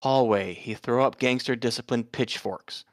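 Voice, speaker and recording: A man's voice: mid-range voice, moderate pace, no room sound, lightly acted. Man acting a defiant, argumentative young guy, close and dry. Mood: accusing. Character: defiant, indignant young man, quick to argue. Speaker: amateur actor reading a part. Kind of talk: screenplay table read, dramatic dialogue.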